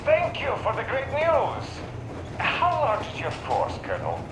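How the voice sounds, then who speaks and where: A man's voice: very high voice, wildly swooping pitch, a little slow, full character voice. A man voicing a fussy, suspicious army major over the radio in a video game, hesitant, officious and stilted. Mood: eager, then let down. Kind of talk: dramatic video game acting. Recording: noisy, roomy radio transmission.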